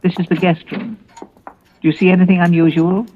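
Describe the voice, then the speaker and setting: An actress playing an anxious, weary grandmother in a grave, deliberate old-time radio suspense style. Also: lowish voice, little pitch movement, warm tone, a little hiss or hum, close to an everyday voice. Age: older. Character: anxious, weary grandmother determined to flee her haunted house. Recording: muffled vintage radio drama recording.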